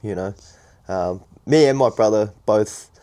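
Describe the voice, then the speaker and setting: Young kiwi bloke, low voice, calm and reflective, lots of ums. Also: male voice, unhurried. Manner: thoughtful, calm, many fillers. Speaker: podcast co-host. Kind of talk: casual chat.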